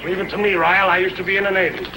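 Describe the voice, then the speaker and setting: A man's voice: high voice, little pitch movement, very fast, close to an everyday voice. An actor playing an eager, bragging sidekick who boasts about his Navy days, in a 1950s sitcom. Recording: noisy old TV soundtrack.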